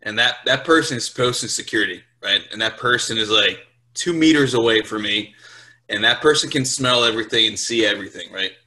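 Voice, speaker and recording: Young man, bright voice. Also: fairly low pitch, a little fast, low-quality recording. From Brazil, later Portugal, England and Louisiana. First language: Portuguese. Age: 20s. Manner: informal, swearing, checks in with "right?". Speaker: podcast guest, US Marine sergeant. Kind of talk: casual interview chat.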